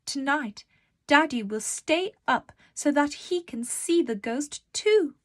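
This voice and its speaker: An excited little storybook girl, acted by a woman reader. She confides with wonder in a high, lifted voice, spacing her words out slowly.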